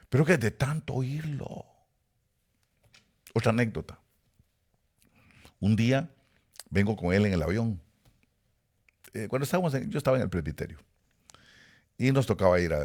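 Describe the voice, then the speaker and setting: A man from Costa Rica with a Guatemalan Spanish accent, preaching a sermon and telling personal stories. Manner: animated storytelling with sudden rises in pitch and volume.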